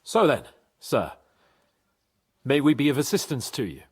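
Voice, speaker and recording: A man playing a bold, polite young nobleman in a video game, with a husky voice and an earnest, deferential way of talking. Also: medium pitch, big pitch swings, unhurried. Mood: eager, respectful. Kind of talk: video game cutscene acting.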